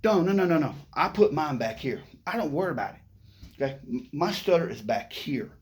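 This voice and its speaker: A man's voice: on the high side, very animated, fairly quick. A middle-aged man who stutters, talking to the camera in a playful, upbeat way and often adding "okay?". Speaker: vlogger. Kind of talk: casual motivational talk to camera.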